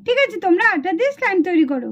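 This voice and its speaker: Woman as a very high-voiced encouraging teacher doll. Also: sing-song, very fast, dull audio, heavily acted. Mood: approving. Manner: praising, instructing. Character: encouraging female schoolteacher doll praising her pupils. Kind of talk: children's puppet show dialogue.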